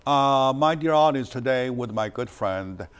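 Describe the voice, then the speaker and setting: An older man hosts a studio talk show, animated and addressing the audience with many fillers. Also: mid-range voice, measured pace, clean tone. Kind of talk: studio interview. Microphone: lapel mic.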